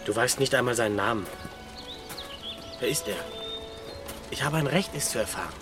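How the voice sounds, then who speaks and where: An actor playing a stern, sullen young sailor and protective brother, speaking brusquely in a radio play. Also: male voice, medium pitch, moderate pace, over music, natural voice. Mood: suspicious. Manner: brusque, grumbling. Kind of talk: German radio play acting.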